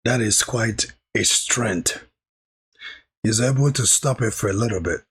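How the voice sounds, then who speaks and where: A man with a hoarse, recovering voice, chatting through a live anime reaction stream and thanking viewers in the chat. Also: fairly low pitch, measured pace, fairly bright tone.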